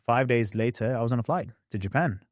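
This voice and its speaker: A young man with a mixed Australian and English accent, a podcast guest telling his story in a candid, animated way. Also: mid-range voice, dry recording, clean studio sound. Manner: animated, quick storyteller who acts out past scenes.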